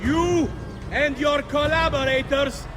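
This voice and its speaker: An actor playing a stern, treacherous magistrate in a video game, formal and declamatory. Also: male voice, very high-pitched, steady tone, slow, over music. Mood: cold, official.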